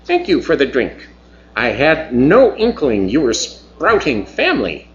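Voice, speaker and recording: A male narrator voicing a courteous, formal alien business partner who speaks softly and precisely. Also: medium pitch, wildly swooping pitch, a little slow, dull audio.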